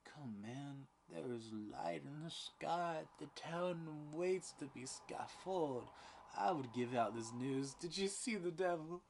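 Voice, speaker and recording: A man's voice: a little slow, a little hiss or hum, lightly acted. A man voicing a stern, pompous older male judge who presses a prisoner for a confession, in a read-aloud play. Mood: pressing. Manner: insistent, officious, raised pitch.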